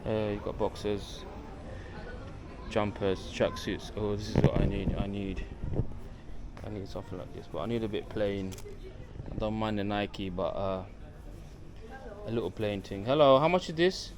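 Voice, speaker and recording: A man's voice: on the low side, moderate pace, long pauses. A man from London with a London accent, chatting casually and informally to his viewers as he films a travel vlog. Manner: friendly, informal, addressing viewers as guys. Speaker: travel vlogger. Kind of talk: casual walking vlog chat. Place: walking through an outdoor bazaar and its shops. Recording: busy street and bazaar crowd noise.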